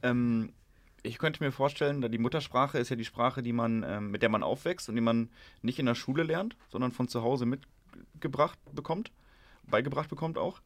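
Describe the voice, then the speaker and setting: A man's voice: medium pitch, moderate pace. Man reasoning out an answer. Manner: thoughtful, tentative reasoning. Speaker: discussion participant. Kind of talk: classroom discussion.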